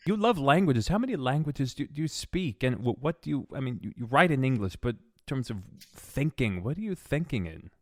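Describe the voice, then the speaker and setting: A man hosts a podcast interview, his voice at a middle pitch and recorded close and dry. He asks questions in a friendly, halting way, full of fillers and restarts. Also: very animated, no echo. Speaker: podcast host. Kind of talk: interview conversation.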